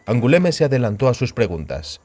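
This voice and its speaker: A man's voice: medium pitch, very animated, a little fast, dark tone. A man reading scripted literary narration for a fantasy audiobook as its narrator.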